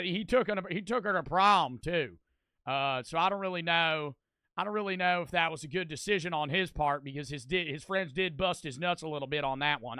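A man's voice: fairly high pitch, bright, forceful tone, clear, resonant tone, dry recording. A man voicing a gossipy backwoods Southern man with a put-on drawling accent, rambling with lots of fillers. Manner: rambling, hesitant, full of fillers.

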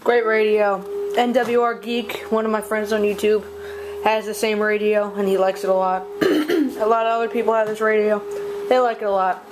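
A speaker casually showing off a collection on a handheld camera at home, halting and often clearing the throat or coughing. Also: medium pitch, flat delivery. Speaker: video host showing a collection. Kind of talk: casual handheld product show-and-tell. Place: indoors at a desk in a home room. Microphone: handheld camera's built-in mic. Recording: noisy home camera audio, clicks.

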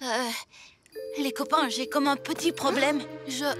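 A woman's voice: high-pitched, fairly even intonation, unhurried, over music. A woman voicing an earnest, brave young boy superhero in a French cartoon dub. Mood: dejected.

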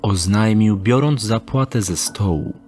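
A man narrating an audio drama in a measured, descriptive way. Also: on the low side, darkish tone, faint music behind.

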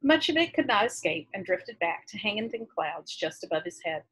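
Husky American woman reading aloud. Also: medium pitch, very animated, moderate pace, fairly bright tone, rough recording. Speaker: high school English teacher reading to class. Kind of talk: reading a novel aloud with teacherly asides. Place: small room at home, indoors.

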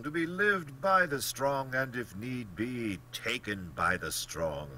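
Casting: smug, genteel storybook general, cold-blooded and pompous, forceful tone with big swoops, faint hum behind. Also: male voice, mid-range voice, voice pushed up, exaggerated voice. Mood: boastful, emphatic. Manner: blandly polite, pompous, theatrically emphatic. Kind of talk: dramatic audiobook character acting.

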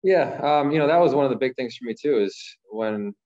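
A man in his thirties from Ohio, a professional goalkeeper answering press conference questions in a measured way, often repeating words as he thinks. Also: mid-range voice, expressive, a little mellow. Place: small room, branded backdrop. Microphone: video call, masked. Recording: slightly dull lo-fi audio.

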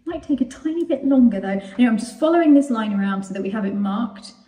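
A woman's voice: a little high, animated, fast, mellow. A woman, chatting enthusiastically to her phone camera in a large bathroom while she works. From England. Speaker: vlog host. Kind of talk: casual vlog narration. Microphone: handheld phone camera at arm's length.

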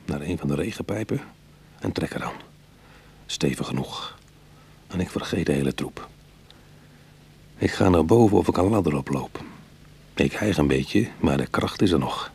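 A retired cat burglar in a radio drama, proud and wry. His middle-aged voice sits fairly low and has a husky grain. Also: darkish tone, heavily acted. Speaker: actor and narrator in a radio play. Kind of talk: Dutch radio drama acting.

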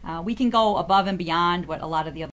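A woman's voice: fairly low pitch, fast, fairly bright tone. A woman who manages a restaurant, proudly describing its food as a talk show guest. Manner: proud, promotional. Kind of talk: casual interview.

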